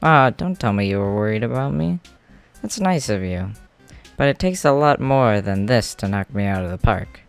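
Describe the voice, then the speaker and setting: A man's voice: fairly low pitch, expressive, moderate pace, creaky, clear, resonant tone. A man playing a stubborn, polite, workaholic clan leader in an amateur comic dub, talking in an easygoing, emphatic way. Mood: reassuring, casual. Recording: music behind the voice.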